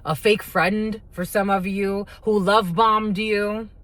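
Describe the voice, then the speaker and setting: A woman's voice: medium pitch, steady tone, slow, a bit bright. A woman whose first language is Spanish gives a casual tarot reading. She talks bluntly, swears freely, and calls her viewers "my lovies". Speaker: tarot reader.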